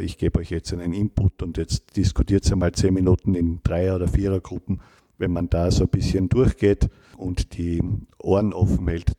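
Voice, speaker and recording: Man, very low voice, mellow tone, measured academic speech. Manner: measured, academic, restarts and rephrases. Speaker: linguistics professor. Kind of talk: interview answers.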